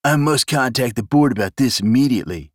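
A man's voice: medium pitch, brisk, no room sound, clean studio sound, pitched above the performer's own voice, close to an everyday voice. A man voicing a prim, fussy male clone who speaks formally and indignantly.

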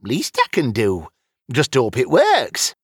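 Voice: medium pitch, huge pitch swings, slow pace, husky, no room sound, clean studio sound, exaggerated voice. A narrator voicing an elderly male wizard, sheepish and quibbling, with folksy dialect phrasing, in a fantasy audiobook. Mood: earnest.

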